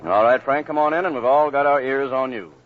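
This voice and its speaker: A man's voice: mid-range voice, brisk. A man with an American accent announcing a live 1940s big band radio broadcast. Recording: dull old radio broadcast with music. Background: big band music.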